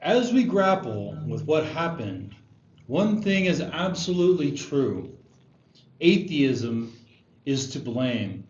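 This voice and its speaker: A man's voice: a little high, sing-song, slow, darkish tone. A man playing a dogmatic pastor in a drama table read, preaching in a solemn, declamatory way. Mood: grave conviction. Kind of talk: screenplay table read sermon. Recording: dull, echoey room.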